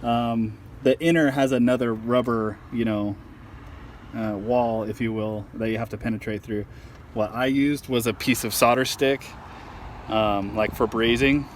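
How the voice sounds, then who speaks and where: A man giving an informal, halting how-to demonstration, thinking aloud as he goes. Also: medium pitch, fairly even intonation. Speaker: amateur truck owner showing a DIY install. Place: outdoors at a truck. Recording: handheld outdoor recording with clear background noise.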